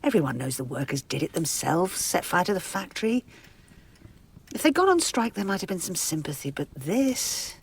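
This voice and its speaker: An actress playing an imperious, gossipy and anxious middle-aged society matriarch in a radio drama, with theatrical, breathy asides. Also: female voice, lowish voice, sing-song, moderate pace, heavily acted. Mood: agitated.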